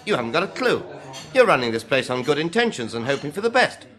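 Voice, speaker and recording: An actor playing a blunt, practical, combative man surviving a catastrophe in a radio drama, impatient and forceful, with a British accent. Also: a little high, big pitch swings, quick pace, faint music behind. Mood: blunt, scornful.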